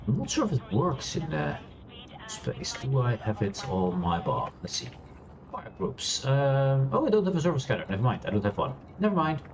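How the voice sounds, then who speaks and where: A man streaming a space game, chatting loosely with many fillers and restarts, laughing now and then. He has a loud voice. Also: medium pitch, noisy background. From Europe. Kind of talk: casual gameplay commentary. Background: quiet game music.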